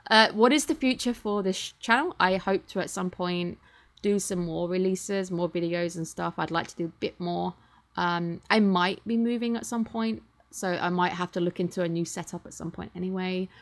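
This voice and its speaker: A woman's voice: medium pitch, fairly bright tone. A British woman in her twenties, chatting casually and in a rambling, self-deprecating way as she thanks her channel's viewers. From Britain. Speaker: channel host. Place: home streaming setup. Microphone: computer or webcam mic.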